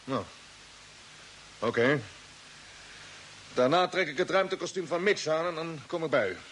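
An anxious space fleet commander in an old radio serial. His pitch sits a little high and swings widely as he questions. The recording has a faint hiss. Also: lively intonation, unhurried. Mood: reluctant, resigned. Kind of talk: mid-century Dutch radio drama acting.

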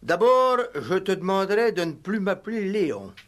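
A self-important village gendarme in a vintage radio comedy-mystery. His high voice leaps up and down as he stammers, fusses and fawns, eager and easily flustered. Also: normal speed. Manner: obsequious, pompous, stammering, excitable. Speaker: radio actor. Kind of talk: 1960s French radio play acting. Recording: vintage 1960s radio recording.

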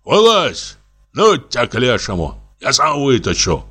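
A man voicing a gruff, cheeky peasant carpenter with a folksy, grumbling manner in a story. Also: medium pitch, big pitch swings, unhurried, fairly bright tone, soft background music, pitched above the performer's own voice, full character voice. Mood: annoyed.

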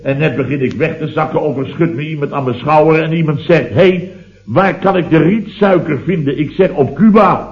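An actor with a Dutch accent playing a grumbling, boastful building-firm boss who spins indignant, drawn-out yarns in a radio comedy. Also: male voice, a little high, close to an everyday voice. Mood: exasperated. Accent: Dutch, from the Netherlands. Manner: indignant, drawn-out storytelling with repeated tag words. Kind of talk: radio comedy acting, anecdotal monologue. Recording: dull, roomy vintage radio recording.

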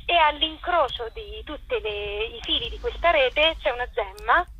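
A woman being interviewed as an actress and writer, explaining thoughtfully and haltingly as she searches for words, over a lo-fi phone-like line. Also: a little high, pushed and bright, husky. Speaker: actress and co-author interviewed about her play. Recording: muffled lo-fi phone-like line with noise.

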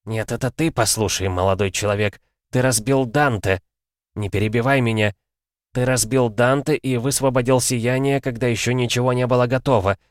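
A man's voice: medium pitch, fairly even intonation, moderate pace, no room sound, clean studio sound, pitched above the performer's own voice, close to an everyday voice. A man voicing a confused, reproachful dead father scolding his son in an audiobook. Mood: stern.

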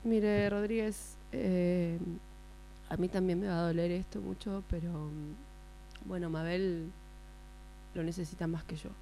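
A woman's voice: lowish voice, fairly even intonation, measured pace, dark tone. A woman with an Argentine accent playing an insistent, patronizing supervisor who addresses her employee formally and repeats herself needlingly, in a radio drama. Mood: condescending. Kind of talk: radio drama workshop acting. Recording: amateur recording with mains hum.

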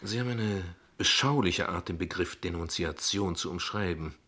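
An actor playing a guarded, ironic father in a radio play, speaking in measured, eloquent, formal sentences. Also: sits low, bright, forceful tone, slightly rough, full character voice. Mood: sardonic. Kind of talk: radio play dialogue acting.

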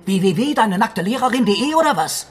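A man's voice: high voice, animated, brisk, slight background noise. An actor playing a stern middle-aged police detective and father in a German radio drama, interrogating and sarcastic. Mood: accusing.

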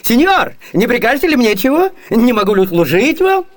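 Wily hungry servant, high voice, swooping intonation, chatty, expressive, Soviet radio comedy. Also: male voice, a little fast. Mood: eager, wily. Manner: sighing, expressive, eager. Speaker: actor in a radio play.

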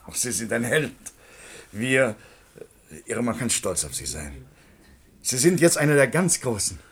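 A man's voice: mid-range voice, big pitch swings, unhurried, slightly rough, exaggerated voice. A man acting an older, patronising, avuncular fellow in a 1960s science-fiction radio play, hearty yet sometimes hesitant, on a vintage recording. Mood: hearty, chummy.

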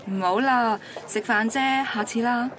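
A woman's voice: a little high, fairly even intonation, moderate pace, a bit bright, a little hiss or hum, natural voice, poor audio. An actress playing a blunt, ambitious young student girlfriend in a radio drama, matter-of-fact and dismissive, with a Hong Kong Cantonese accent. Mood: cool, evasive. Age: young adult.

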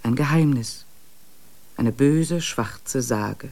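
An actor playing a reflective expedition diarist, narrating a literary travel journal in a measured way for a radio play. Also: male voice, medium pitch, a little mellow. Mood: awed, uneasy. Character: reflective expedition diarist, slightly uneasy before mountains.

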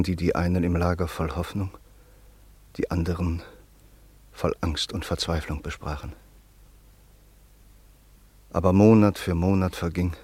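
A man narrating a radio play in a measured, sober way on a close studio mic. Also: sits low, darkish tone, husky, dry recording.

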